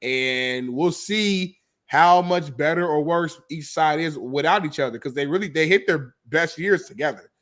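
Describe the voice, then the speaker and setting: A man's voice: a little high, normal speed, few pauses, a bit bright. A man in his thirties hosting a casual sports talk show, opinionated and laughing often. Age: 30s. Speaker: host.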